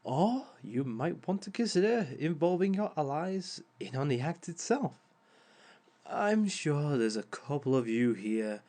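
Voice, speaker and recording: A man's voice: mid-range voice, a little mellow. A man voicing a sly, theatrical male villain who lectures on dating in an audio roleplay, with chuckling asides. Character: smug male villain giving a dating seminar.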